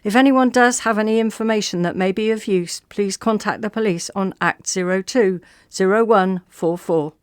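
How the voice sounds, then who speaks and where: A woman playing an earnest radio newsreader, delivering a mock bulletin in a formal, measured, straight-faced manner. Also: mid-range voice, close to an everyday voice. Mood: formal, serious.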